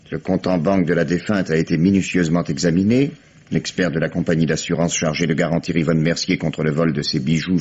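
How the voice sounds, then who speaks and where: A shrewd police commissioner speaks with measured, ironic authority. His voice is deep and husky. It sits on a dull, lo-fi 1950s radio drama recording.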